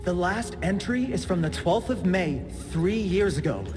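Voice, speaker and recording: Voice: mid-range voice, expressive, unhurried, over music, close to an everyday voice. A performer voicing an eager, chatty young inventor sidekick in a video game, wordy and polite but cheeky. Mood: amazed, excited. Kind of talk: video game cutscene acting.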